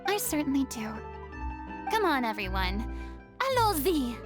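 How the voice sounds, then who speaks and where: A woman's voice: sits high, very animated, unhurried, over music. A woman voicing a proud, theatrical young former goddess in a video game, with grandiose, dramatic delivery. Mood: confident.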